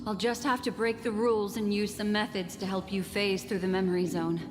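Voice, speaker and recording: An actress playing a composed, elegant mystic guide in a video game, speaking in a measured, formal way. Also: female voice, medium pitch, level tone, close to an everyday voice. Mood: urgent. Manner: measured, formal, deliberate phrasing. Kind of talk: dramatic video game voice acting. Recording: long hall reverb under game music.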